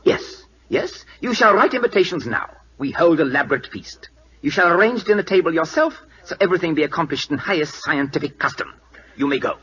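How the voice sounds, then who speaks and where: Haughty king, put-on Siamese accent, very animated delivery, stiff broken English, impatient, dull old radio recording. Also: on the high side, a little fast. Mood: brisk, demanding. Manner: clipped broken English with stiff formal words. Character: proud, imperious, impatient 19th-century king of Siam. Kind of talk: 1940s radio drama acting.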